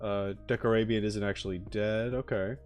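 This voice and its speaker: A man's voice: fairly low pitch, steady tone, moderate pace, a little mellow. A man chatting casually as a livestream host, thinking aloud in short, informal, trailing phrases. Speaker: streamer and reaction host. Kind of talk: casual livestream chat, musing about a game. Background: background music.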